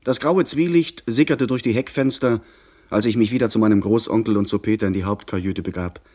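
An actor playing a young gentleman who narrates his sea adventure in a literary radio play style. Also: on the low side, brisk, natural voice. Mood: narrating.

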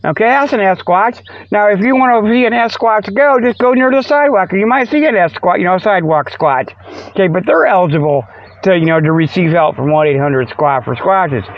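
A man's voice: sits high, lively intonation, fairly quick, no room sound. A man hosting a radio show in a rambling, folksy, jokey monologue full of homespun exclamations. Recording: muffled, dull lo-fi radio stream.

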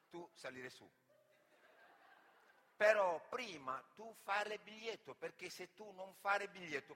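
A man's voice: high voice, bright, forceful tone, big-room sound, heavily acted. A man playing a helpful but patronising local passer-by who over-explains emphatically in broken, simplified phrases in a comic stage monologue.

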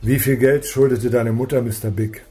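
An actor playing a stern, suspicious police superior, curt and authoritative, in a radio crime drama. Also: male voice, medium pitch, fairly even intonation, normal speed, mellow, close to an everyday voice. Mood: angry, accusing.

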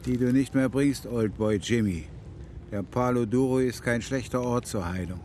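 An actor playing a calm, dignified Comanche man in a radio drama, measured and quietly wry. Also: medium pitch, slight background noise, close to an everyday voice.

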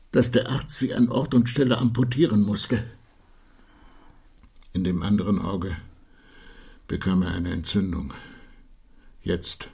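An actor playing a grave bearer of grim news in a German radio play, speaking in a hesitant, measured, husky voice. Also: male voice, on the low side, darkish tone, exaggerated voice. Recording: studio radio play with music.

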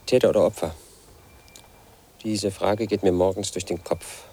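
A man's voice: low-pitched, steady tone, normal speed, dark tone, husky. An actor playing a lonely, paranoid 38-year-old man who talks in a pedantic, confiding way in a radio drama monologue. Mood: brooding. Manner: pedantic, confiding, obsessed with statistics and codes. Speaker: lead actor in a radio play.

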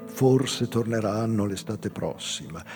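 A man playing an ageing, proud Azorean whaler in a stage reading, husky, slow and wistful. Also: fairly low pitch. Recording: long hall reverb, faint music.

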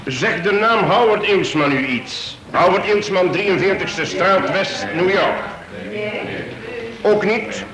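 An actor playing a polite police inspector who questions people formally in a radio play. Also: male voice, high voice, animated, slow pace, a crowd in the background, full character voice. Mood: questioning. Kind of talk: vintage radio play acting. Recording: echoey room.

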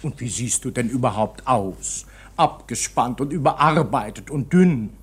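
A man's voice: mid-range voice, a little slow, breathy edge. An actor playing a fussy, alarmed older uncle in a radio drama, urgent and insistent, repeating his words. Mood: worried. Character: fussy, alarmed older uncle anxious about family honour.

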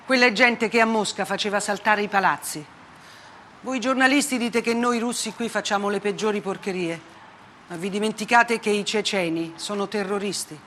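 A man's voice: sits high, normal speed, pushed and bright, slight background noise. A woman performer voicing a hard, self-righteous male army colonel, blunt and accusatory, in a dramatic stage monologue. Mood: defiant, accusing. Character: hard, defiant Russian army colonel in Chechnya. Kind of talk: dramatic monologue radio theatre.

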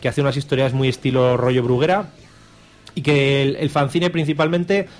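A man's voice: medium pitch, steady tone, normal speed. A man with a Spanish accent from Spain co-hosts a radio show, reviewing and bantering in a casual, jokey, colloquial and irreverent way. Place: radio studio.

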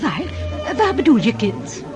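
An actress in a Dutch radio drama playing a gossipy, sharp-tongued society lady, knowing and haughty. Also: a little high, sing-song, a little slow, over music. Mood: gossipy, amused.

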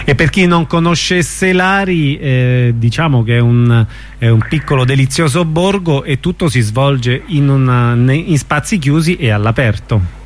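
Mid-pitched man interviewing on radio. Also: expressive, measured pace, slight background noise. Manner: attentive, murmuring agreement.

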